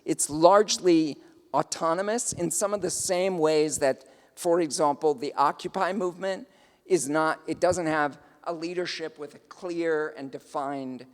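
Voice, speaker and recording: A South African man gives a lecture and answers questions, fairly high-voiced. He pauses often, restarts his sentences and fills gaps with "um". His voice carries a long reverb, as if recorded in a large hall. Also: darkish tone. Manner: thoughtful, hesitant, many fillers and restarts. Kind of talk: lecture with audience Q&A.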